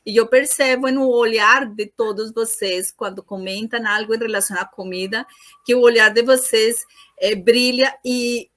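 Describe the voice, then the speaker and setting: A woman's voice: fairly high pitch, steady tone, normal speed, fairly bright tone. A woman with a Latin American Spanish accent, a native Spanish speaker hosting a class and searching a little for words as she speaks spontaneously. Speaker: course host introducing the lecturer.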